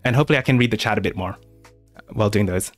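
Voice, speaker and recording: A man's voice: on the low side, very fast, soft background music. A man hosting a live question-and-answer stream, talking casually with many fillers and restarts. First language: English. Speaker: art channel host.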